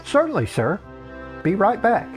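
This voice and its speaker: Earnest fantasy acolyte, performed by a male reader: raised pitch, obliging, deferential, animated, for a comic storybook. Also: unhurried, faint music behind, heavily acted. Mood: brisk, urging. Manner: chirpy, obliging, polite.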